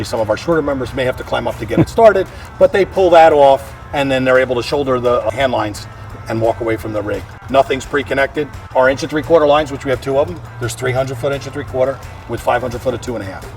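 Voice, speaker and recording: A man's voice: medium pitch, quick pace, creaky, a little mellow, far from the mic, soft background music. An American man, a fire chief, explaining equipment in a practical, plain-spoken way during a walk-through. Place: outdoors around a fire truck.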